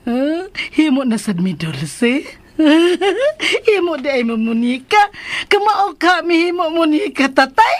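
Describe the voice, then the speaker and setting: A woman's voice: sits high, big pitch swings, slow pace, no room sound, exaggerated voice. An actress playing a childlike, mentally troubled mother in a radio drama, giggly, confused and prone to childish exclaiming.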